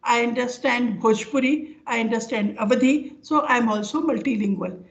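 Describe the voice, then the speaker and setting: An older woman with an Indian accent giving an informal academic lecture over a video call, speaking haltingly as she explains with examples. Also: on the high side, gravelly. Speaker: professor giving an online lecture. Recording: dull, lo-fi online call audio.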